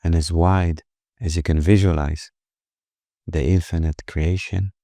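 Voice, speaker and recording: A man leading a guided meditation in a slow, gentle, soothing manner, with pauses between phrases. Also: sits low, fairly even intonation, no room sound, clean studio sound.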